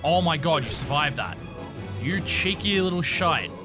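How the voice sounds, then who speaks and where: A man live-streaming a video game, chatting casually in a cheeky, expressive way and swearing freely. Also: a little high, normal speed, over music. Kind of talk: casual gameplay stream chat with playful swearing.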